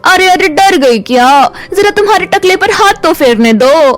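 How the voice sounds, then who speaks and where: Woman as a high-voiced, taunting schoolgirl bully. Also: lively intonation, moderate pace, faint music behind. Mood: mocking. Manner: taunting, sneering.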